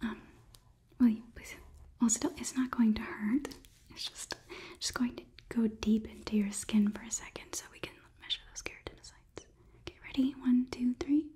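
A woman in her twenties performing a whispered ASMR roleplay as a politely detached robot examiner, murmuring in a soft, unhurried, clinical manner. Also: fairly high pitch, steady tone. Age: 20s. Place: small indoor studio set. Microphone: close microphone, on camera.

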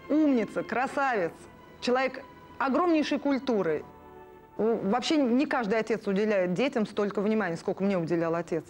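A woman in her thirties reminiscing in an interview in an effusive, emphatic way. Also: fairly high pitch. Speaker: animation artist interviewee. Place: indoor studio room with puppets.

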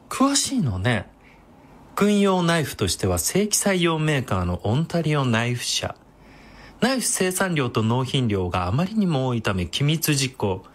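An actor playing a vain, effeminate, sadistic knife-wielding villain in a radio drama, with mincing, taunting speech. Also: male voice, fairly high pitch. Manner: campy, mincing, feminine phrasing.

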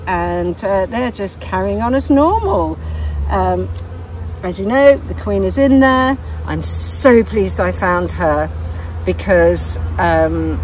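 An older woman talks chattily as she works her hives outdoors, explaining each step to the camera. Her voice sits in a middle pitch, neither high nor low. Clear outdoor noise and buzzing bees run behind her. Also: a little mellow, low-quality recording. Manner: delighted, exclaiming. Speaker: beekeeper. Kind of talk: hands-on demonstration vlog. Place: outdoors in a garden apiary. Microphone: camera close by, wearing a bee veil.

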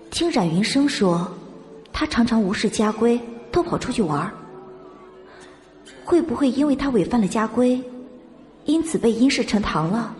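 A woman reading a dramatic novel as an audiobook narrator, in measured storytelling style. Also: fairly high pitch, darkish tone.

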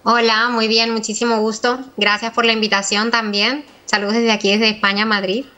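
Woman, thirties, Venezuelan accent, bright sharp voice, slightly high pitch, explanatory, video-call interview. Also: level tone, moderate pace. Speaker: immigration lawyer, guest. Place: small office, indoors.

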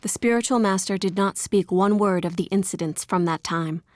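A woman reading scripted documentary narration in a calm, measured, even way. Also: medium pitch, dry recording.

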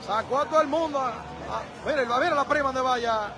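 A man with a Dominican accent giving excitable live street commentary, full of exclamations, recorded on a phone outdoors. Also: extremely high pitch, normal speed. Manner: excitable, frequent exclamations and laughter. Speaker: livestream street reporter. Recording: noisy street crowd livestream. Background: crowd and traffic noise.

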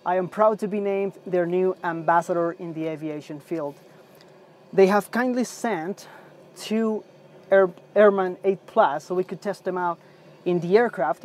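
Young male pilot-host, upbeat scripted product review, recorded in a cockpit. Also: sits high. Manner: upbeat, scripted presenter delivery. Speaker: pilot and aviation channel host. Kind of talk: product review presented to camera. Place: aircraft cockpit on the ground.

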